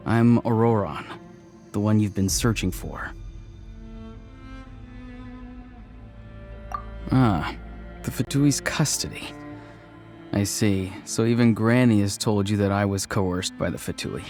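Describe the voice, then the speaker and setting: A man plays a young mystic schemer. He is calm, courteous and assured, choosing formal words in a measured game-drama delivery over faint music. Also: on the low side, lightly acted. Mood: calm, explaining. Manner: polite, measured, formal, speaks in mystical similes.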